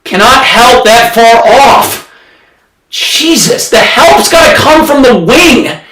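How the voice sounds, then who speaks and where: A man's voice: extremely high pitch, expressive, takes it slowly, a loud crowd. A man doing live basketball play-by-play reactions on a stream, exasperated and blunt, often raising his voice and repeating himself. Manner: exasperated, ranting, often shouting. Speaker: livestream sports commentator. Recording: roomy echo, distant from mic.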